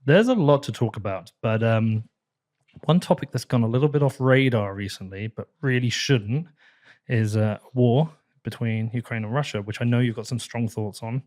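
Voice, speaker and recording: A man's voice: medium pitch, normal speed, a little mellow. A man hosting a podcast interview, chatty and self-deprecating. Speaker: podcast host. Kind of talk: casual interview talk.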